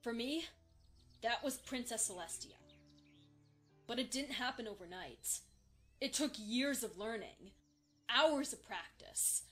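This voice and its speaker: A woman playing a confident, sympathetic young unicorn mare who mentors earnestly in a fan audio drama. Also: fairly high pitch. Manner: earnest, assured, consoling.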